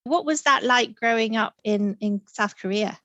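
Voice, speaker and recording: A woman's voice: on the high side, normal speed, a bit bright, no echo, highs cut off. A woman hosting an interview podcast, reading a guest introduction and asking short, friendly questions. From Singapore. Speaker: podcast host.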